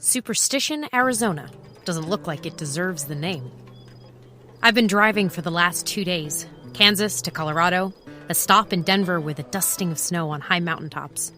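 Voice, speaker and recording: A wry, world-weary woman records her travel journal. Her voice sits a little low with a bright, forward edge, and she reflects in short fragments. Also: moderate pace, soft background music. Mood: reflective, observant. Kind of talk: first-person audio drama narration.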